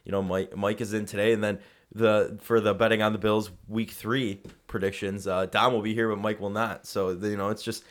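An American man with a slightly hoarse voice, hosting a casual sports podcast as an enthusiastic, emphatic fan. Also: fairly low pitch, level tone, normal speed.

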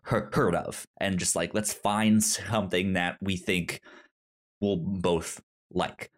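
A man hosting a casual podcast, speaking in a halting way and often repeating the starts of words. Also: on the low side. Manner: halting, repeats word beginnings. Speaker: podcast host.

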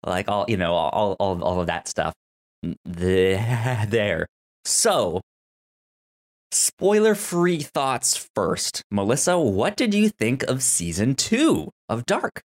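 Halting, slow-paced man hosting a podcast. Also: fairly low pitch, very animated. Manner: halting, stammering with repeated words. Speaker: podcast host. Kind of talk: casual TV review chat.

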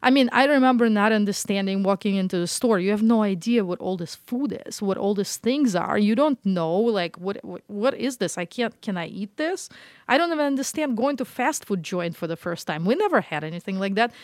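A woman's voice: medium pitch, a little fast, no echo. Woman, middle aged, chatty interview storytelling, dry close sound. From Russia. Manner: animated storytelling, acts out quoted reactions. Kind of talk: casual interview chat.

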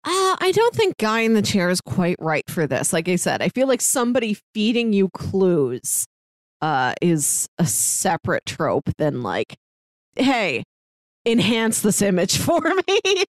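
A woman podcast co-host with a mid-range voice, recorded close and dry. She talks casually and retells stories in an animated way, slipping into little imagined quotes as she goes. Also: medium pitch, a little slow, no room sound. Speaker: podcast co-host giving recommendations. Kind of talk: casual chat about films, books and audio dramas.

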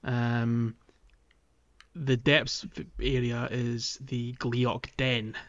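A man's voice: medium pitch, level tone, extremely slow, muffled recording. A man co-hosting a casual gaming podcast, thinking aloud as he talks in a hesitant way. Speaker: podcast co-host.

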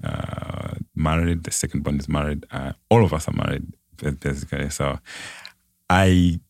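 Very deep-voiced Malawian man. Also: animated, normal speed, creaky, a little mellow, no room sound, clean studio sound. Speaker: podcast guest. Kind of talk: conversational personal storytelling.

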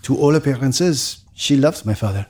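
A man's voice: mid-range voice, moderate pace, darkish tone, natural voice. A man acting in an audio drama as a reflective grown son recounting family history. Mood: reflective, measured. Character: reflective grown son recounting his parents' troubled marriage.

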